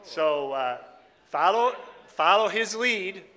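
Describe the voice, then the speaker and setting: Bright, high-voiced male preacher in echoing hall. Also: expressive, takes it slowly. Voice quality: slightly raspy. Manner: folksy, teasing, joking with the congregation. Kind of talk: informal sermon with congregational singing. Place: large reverberant room before a congregation. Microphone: microphone on a stand or PA. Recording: echoey hall with long reverb.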